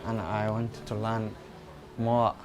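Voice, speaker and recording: A man from Somalia speaking slowly and haltingly in an interview. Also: on the low side, completely flat delivery, music in the background. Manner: slow, halting, simple phrasing.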